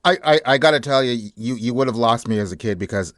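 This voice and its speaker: A man's voice: medium pitch, quick pace, fairly bright tone, dry recording, studio quality. A man hosting a radio interview, asking questions with some stammering and restarts. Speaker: radio show host.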